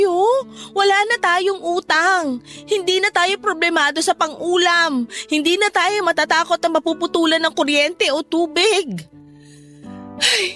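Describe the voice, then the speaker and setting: A woman with a Filipino accent playing a devoted, forgiving young adult daughter, earnest and gently persuasive, in a Tagalog radio drama. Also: extremely high pitch. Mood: reassuring. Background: music.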